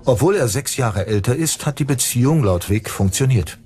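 Male narrator for a scripted crime documentary, mid-pitched, light music bed. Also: expressive, normal speed, a little mellow.

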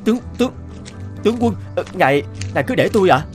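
Young soldier male actor, animated drama: determined, formal, imploring, very expressive intonation, music behind. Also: high voice, quick pace. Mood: flustered.

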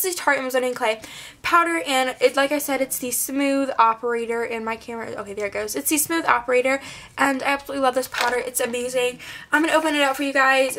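A woman's voice: on the high side, bright, forceful tone. Give me a chatty young woman with a fading, congested voice. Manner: chatty, rambling, filler-heavy. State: losing her voice, congested. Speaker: beauty vlogger. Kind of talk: casual product review. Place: small bedroom, indoors. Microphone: camera mic at close range.

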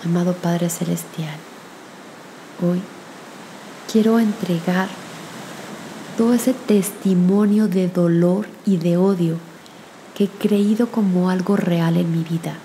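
A woman leading a guided meditation and prayer in a soft, gentle, soothing manner with long pauses between phrases. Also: lowish voice, steady tone, slight background noise, poor audio.

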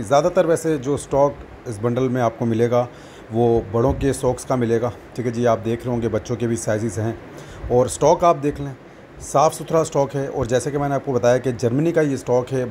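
A man with a Pakistani accent, a seller narrating a product demonstration in a matter-of-fact, repetitive sales pitch. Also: mellow, slight background noise. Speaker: wholesale market seller and channel host.

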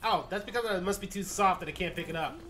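A man's voice: high-pitched, fast. A man playing a team shooter with a friend, making wry, complaining remarks about the game. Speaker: co-op gaming partner. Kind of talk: casual gaming chat.

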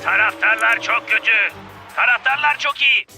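A man voicing a brash, petulant, boastful male footballer in an animated cartoon, with a sharp voice. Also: very high-pitched, moderate pace, exaggerated voice. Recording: faint music and crowd behind.

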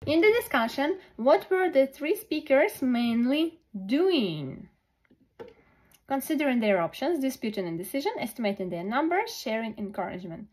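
A woman tutoring test preparation, thinking aloud through questions slowly with many pauses and fillers. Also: high-pitched, big pitch swings.

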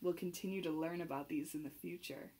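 A young adult woman reading a rhyming picture book aloud to children in an expressive, sing-song manner with clear phrasing. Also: sits low, brisk, a little hiss or hum, rough recording. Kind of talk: children's read-aloud, rhymed storytelling.

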